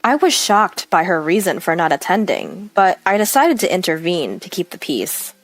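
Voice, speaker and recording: A woman narrates a first-person story in a scripted read. Her mid-pitched voice moves up and down in an animated way. The sound is very dry, with faint music underneath. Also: normal speed, no echo.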